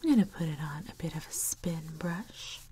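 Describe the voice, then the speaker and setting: A woman in her thirties doing ASMR in a slow, soothing whisper, close to the mic. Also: lowish voice, lively intonation, noisy background. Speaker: ASMR video creator. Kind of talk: ASMR whispering, gentle makeup roleplay.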